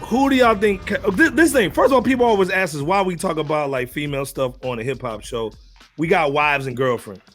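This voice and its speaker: A man's voice: fairly high pitch, brisk, a bit bright, faint music behind. A man hosting a casual hip hop panel chat, talking in a slangy, joking way. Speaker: podcast host.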